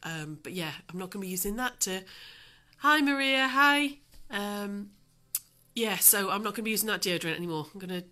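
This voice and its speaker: A woman's voice: medium pitch, fairly quick, sharp tone. A middle-aged woman with a slightly snuffly, nasal voice, chatting casually to viewers as a craft tutor on a livestream from her dining room. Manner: chatty, greeting viewers by name with endearments. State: snuffly from allergies. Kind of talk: casual live chat to viewers. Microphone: phone on livestream.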